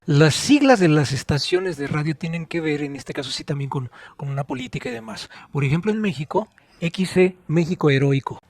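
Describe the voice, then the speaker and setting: A man's voice: a little high, lively intonation, brisk, darkish tone, no room sound. A man with a Mexican accent hosting a conversational podcast interview. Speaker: podcast host.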